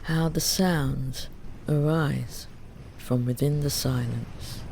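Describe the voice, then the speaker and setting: A meditation teacher gently guiding a Yoga Nidra relaxation, soothing and unhurried, with long pauses between phrases. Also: medium pitch, gravelly. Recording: steady ambient noise under the voice. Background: ocean waves.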